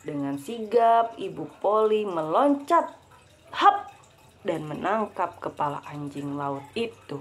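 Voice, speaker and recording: A woman's voice: on the low side, sing-song, slow pace. A young woman reading a children's story aloud to a child, breaking off for chatty asides. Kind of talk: casual read-aloud storytelling.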